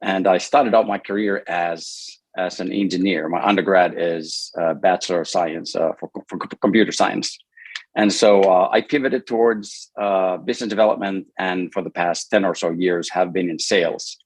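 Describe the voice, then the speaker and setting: A man's voice: low voice, fairly even intonation, moderate pace, no room sound. A man from Finland, a sales director and alumnus, answering interview questions over a video call, thoughtful and hesitant, with many fillers and restarts.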